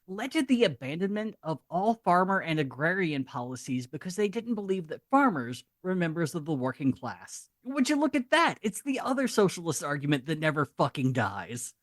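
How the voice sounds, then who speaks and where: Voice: mid-range voice, no room sound, studio quality. A podcast host telling a history story in casual, joking, sardonic chat.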